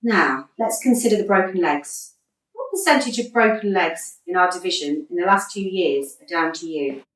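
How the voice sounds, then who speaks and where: Radio comedy: exasperated but patient female football coach played by a woman, articulate, swooping pitch, echoey room. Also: animated, normal speed, natural voice. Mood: dry, reproving. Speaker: actor in a radio play. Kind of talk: radio comedy drama acting. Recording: roomy echo, distant from mic.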